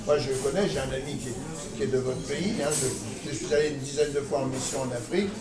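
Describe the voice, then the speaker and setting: A man's voice: mid-range voice, a little fast. An older man speaking bluntly and provocatively in a debate, with frequent restarts. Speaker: audience member. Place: conference hall with audience. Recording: echoey hall, distant mic, audience.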